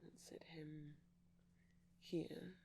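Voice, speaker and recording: A man speaking softly and breathily in an ASMR boyfriend roleplay as a shy, gentle vampire, hesitant and often slipping into whispers. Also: fairly high pitch, little pitch movement, very slow, vocal fry, slight background noise. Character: shy, gentle pastel vampire boyfriend showing off plush toys.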